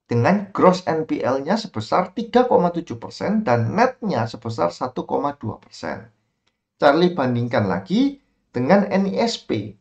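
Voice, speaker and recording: An Indonesian man narrates an explanatory tale in an informal, expressive style. His slightly high voice swings widely in pitch and often drops into creaky fry. The recording sounds a little dull. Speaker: narrator and content creator. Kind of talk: scripted storytelling narration explaining stock investing.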